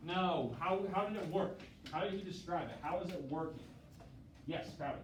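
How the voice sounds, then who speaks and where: A man's voice: sits high, normal speed, far from the mic. Man teaching a class in an echoey room. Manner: energetic, prompting students, acts out scenarios. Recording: very echoey classroom from afar, noisy.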